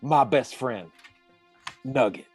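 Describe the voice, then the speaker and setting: A man's voice: medium pitch, slow, a little mellow, husky, soft background music. A man playing a pompous, melodramatic hero with a lightsaber in a comic radio play, declaiming in mock-heroic style. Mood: wounded pride.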